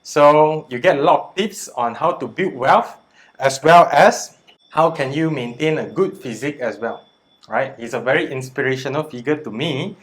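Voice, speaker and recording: Polite, measured male interviewer. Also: on the high side, fairly bright tone. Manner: polite and measured, with hesitant "um" starts. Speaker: interviewer, host. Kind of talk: interview questions.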